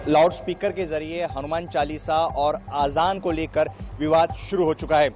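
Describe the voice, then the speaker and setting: Higher-voiced male field reporter, music behind. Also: fairly even intonation, moderate pace. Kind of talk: live on-location news report. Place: outdoors on a city street.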